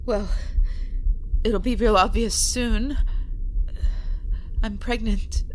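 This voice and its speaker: An actress playing a tender, wry young mother-to-be, gentle in manner, in an audio drama. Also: on the high side, fairly even intonation, extremely slow, a little hiss or hum. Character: tender, wry expectant mother saying farewell. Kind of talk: dramatic audio play acting.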